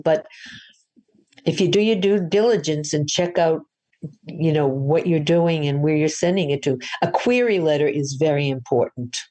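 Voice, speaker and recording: A woman's voice: low-pitched, a little mellow. An older woman from Boston, an author, chatting through her interview answers in a storytelling way, with frequent restarts. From Boston, USA.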